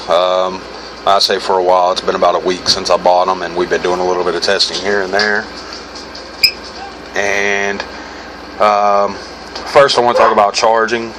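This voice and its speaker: An American man with a fairly low voice talks through a product review. His delivery is informal and rambling, correcting himself as he goes. Clear background noise sits behind his speech. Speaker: gear reviewer hosting his own video. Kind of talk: casual unscripted product review. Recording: clearly noisy background hum.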